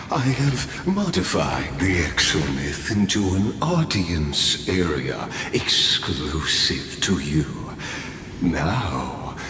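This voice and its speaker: An actor playing a lofty, bitter scholar mastermind in a game cutscene, speaking in a slow, solemn, measured monologue. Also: male voice, low voice, noisy background, full character voice. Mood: calm. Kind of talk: video game cutscene voice acting. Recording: echoey hall reverb with music behind.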